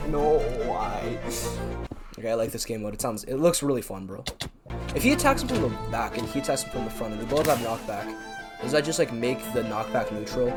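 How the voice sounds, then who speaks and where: Rambling young man, music behind. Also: medium pitch. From Canada. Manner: rambling, filler-heavy, self-deprecating. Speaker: YouTuber. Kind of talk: casual chat over gameplay. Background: background music.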